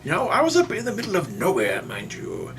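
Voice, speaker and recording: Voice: mid-range voice, wildly swooping pitch, gravelly, noisy background. A performer voicing a doddering, folksy old man who rambles and stammers through a comic storytime monologue. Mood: rambling, reminiscing. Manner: rambling, halting, stammering old-timer delivery. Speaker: storyteller, show host.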